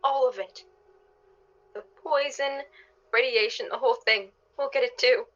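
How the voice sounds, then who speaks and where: A high, tense female voice in a dull, band-limited recording. An actress plays a fretful wife and mother in a radio play, pleading and anxious to the edge of despair. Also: measured pace, a bit bright. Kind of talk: radio drama acting.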